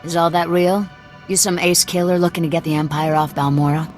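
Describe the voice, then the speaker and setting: A woman's voice: fairly low pitch, fairly even intonation, a little fast, music in the background, close to an everyday voice. An actress playing a tough, suspicious female rebel cell commander in a video game, blunt and threatening. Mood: scornful. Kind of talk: scripted video game dialogue.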